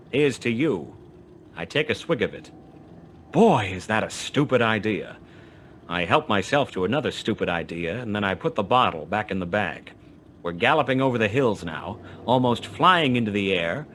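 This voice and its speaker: A man's voice: on the low side, animated, normal speed, fairly bright tone, slight background noise. An actor playing a wry, terse private detective who narrates his own case in a noir radio play. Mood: wry narration. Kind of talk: 1940s noir radio play acting, first-person narration.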